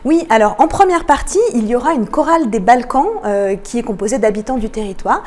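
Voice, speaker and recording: Higher-pitched woman explaining, pausing between phrases. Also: darkish tone. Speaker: interview guest, cultural programme manager. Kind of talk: explanatory radio interview answers.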